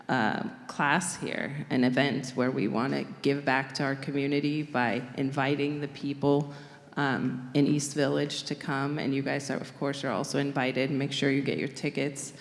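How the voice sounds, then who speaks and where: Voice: medium pitch, big-room sound. A pastor giving a conversational, reflective sermon in a church. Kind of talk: sermon with co-speaker banter. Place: church sanctuary.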